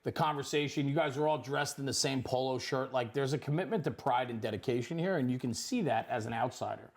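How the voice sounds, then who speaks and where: A man's voice: mid-range voice, fast. A middle-aged man hosting a casual on-location interview in an effusive, encouraging manner. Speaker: interviewer, host. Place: firehouse kitchen.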